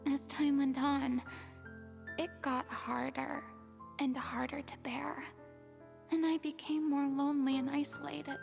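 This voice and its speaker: A woman's voice: high-pitched, flat delivery, measured pace, a little mellow. An actress playing a lonely, haunted young woman who was once a stand-in god in an anime game, speaking in a formal, wistful, confessional way. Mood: melancholy. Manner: confessional, formal, wistful, breathy at phrase ends. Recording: music behind. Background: game music.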